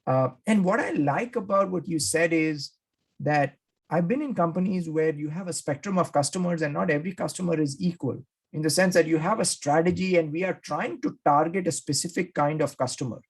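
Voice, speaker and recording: A man's voice: on the high side, a little fast, husky. A man hosting an interview, enthusiastically recapping his takeaways and acting out quoted speakers. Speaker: podcast host.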